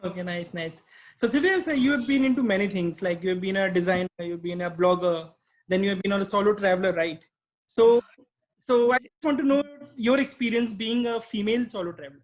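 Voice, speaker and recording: A man's voice: sits high, a little fast, rough recording. Man asking simple interview questions. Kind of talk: casual interview.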